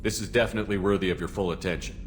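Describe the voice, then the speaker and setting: Low female voice, quick pace, steady military commander, direct, concerned, video game dialogue. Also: slight background noise. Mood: firm, questioning. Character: calm, compassionate military commander, steady and probing.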